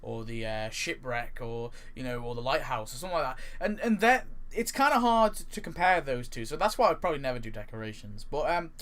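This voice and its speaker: A man's voice: mid-range voice, brisk, hardly any pauses, fairly bright tone. A man giving casual, rambling ranking commentary as a video host, often hedging and repeating himself.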